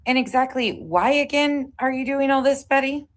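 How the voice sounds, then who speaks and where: A woman's voice: high-pitched, steady tone, moderate pace, very noisy. A woman commentating casually on a livestream and chatting with viewers, blunt, profane and scornful in manner. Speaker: livestream host commenting on played clips.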